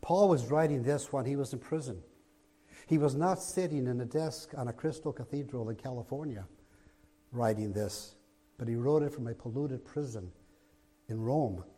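A man with a raspy voice preaching a sermon as pastor in a church, going from a soft low opening to loud emphatic delivery. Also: moderate pace. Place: church sanctuary, streamed online.

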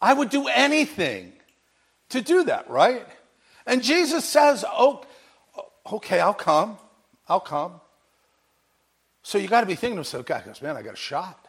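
High-pitched animated male preacher. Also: unhurried, long pauses, slightly rough. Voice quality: big voice. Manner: animated storytelling, acting out bits of dialogue. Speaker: pastor. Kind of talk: sermon.

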